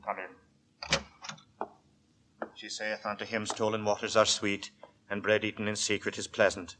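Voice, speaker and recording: An actor playing a quiet, fanatically pious gentleman lodger in a 1940s radio horror drama, formal and courteous but feverish with scripture. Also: on the low side, completely flat delivery, normal speed, noisy background, natural voice. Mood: brooding, ominous. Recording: vintage 1940s radio, music behind.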